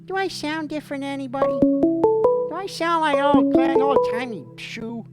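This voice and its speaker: A woman hosting a livestream call-in show as a mock therapist, dreamy, theatrical and goofy, with a gravelly voice. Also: high-pitched, normal speed. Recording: music playing behind the voice. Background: background music.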